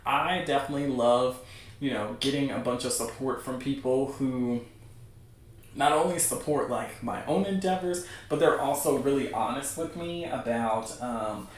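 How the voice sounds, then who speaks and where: A man's voice: medium pitch, moderate pace. A man hosting a livestream, chatting casually to viewers in an informal, encouraging way. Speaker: livestream host. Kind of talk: casual chat to a livestream audience. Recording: very echoey room, distant mic.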